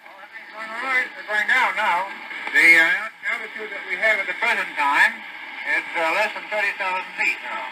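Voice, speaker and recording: A man talking over an archival shortwave radio link in a halting, businesslike way. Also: high-pitched, heavy background noise.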